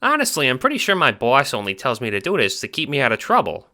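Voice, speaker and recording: A man voicing a short, clumsy, brash but good-natured guard in an audio drama, with a squeaky chipmunk voice and an Italian-American accent. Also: wildly swooping pitch, fast, bright, forceful tone, full character voice. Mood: chummy, boastful. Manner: slangy gangster talk, brash.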